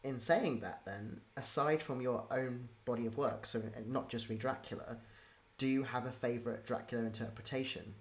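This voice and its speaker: A man's voice: medium pitch, fairly even intonation, normal speed. A man hosting a casual interview, talking in an informal, rambling and enthusiastic way. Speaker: interview host.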